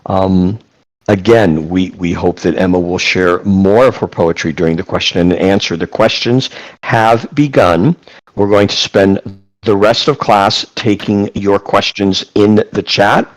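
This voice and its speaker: A man with a lowish voice runs an online class over a video call. He reads chat questions aloud and heaps repeated praise on them. His delivery is effusive and a little wordy. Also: fairly even intonation, measured pace, rough recording. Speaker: class host and moderator.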